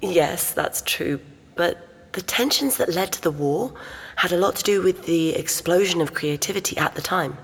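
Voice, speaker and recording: A patient woman museum expert speaks in a low, husky voice. She explains things conversationally and knowledgeably, like an obliging guide in an audio drama. The hall around her rings with reverb. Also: normal speed. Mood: admiring. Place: inside a museum.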